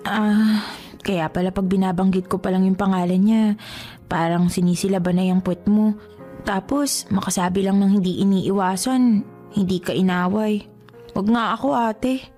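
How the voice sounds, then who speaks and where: A clingy younger sister acted by a woman with a medium-pitched voice in a radio drama. She nags and coaxes in a sulky, suspicious way. Faint music sits behind her. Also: fairly even intonation, normal speed, mellow, close to an everyday voice. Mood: teasing, suspicious. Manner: nagging, coaxing, sulky. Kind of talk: Tagalog radio drama acting.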